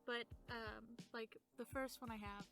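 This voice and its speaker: A woman with a fairly high voice co-hosts a casual storytelling podcast. She speaks informally and chattily, in character as a young demon, with faint music behind her. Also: steady tone, measured pace, sharp tone. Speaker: podcast co-host. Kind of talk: casual in-character chat, telling personal stories.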